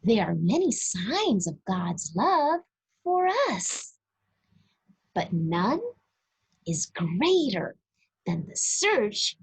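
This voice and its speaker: Very slow, swooping woman's storybook reading. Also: medium pitch, vocal fry, breathy edge, poor audio. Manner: deliberate, emphatic phrasing with pauses. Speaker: children's story reader. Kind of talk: slow, expressive read-aloud of a religious children's book.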